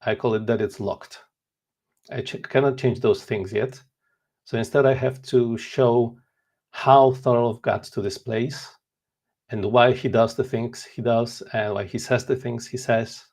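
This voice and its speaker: Gravelly-voiced man in his forties. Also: mid-range voice, steady tone, unhurried, a little mellow. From Poland. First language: Polish. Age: 40s. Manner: earnest, candid, self-deprecating. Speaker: author and interview guest. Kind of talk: casual interview chat.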